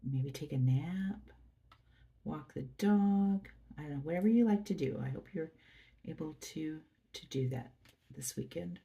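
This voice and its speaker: Soft-spoken stuffy woman, gravelly and mellow. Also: low-pitched, expressive, a little slow. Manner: soft, chatty, murmuring to viewers. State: stuffy nose. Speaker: craft channel host. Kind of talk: casual chat while crafting. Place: at her workplace. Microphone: phone camera.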